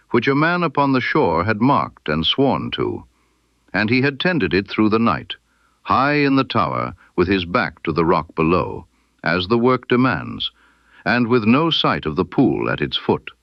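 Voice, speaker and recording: A man narrating a period detective story as an audiobook, in a measured, formal storytelling manner. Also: fairly low pitch, sing-song, no room sound, dull audio. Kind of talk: scripted literary mystery narration in Victorian first person.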